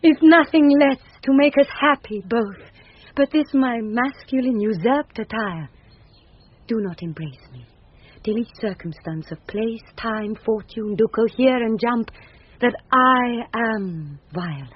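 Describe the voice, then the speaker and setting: An actress with a British accent playing an earnest young gentlewoman disguised as a boy in a radio Shakespeare play. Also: a little high, measured pace, slightly rough. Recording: muffled old radio broadcast recording.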